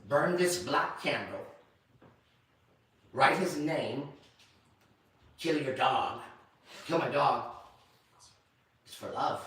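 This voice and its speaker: A man voicing a scheming male fortune-teller who hustles a customer in low, hushed, ominous tones. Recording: very echoey room, distant mic.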